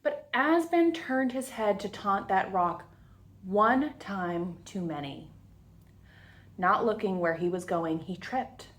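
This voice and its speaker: A woman reading a storybook aloud to children, expressive and deliberate, with little asides to the listeners. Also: medium pitch. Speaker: children's story time reader.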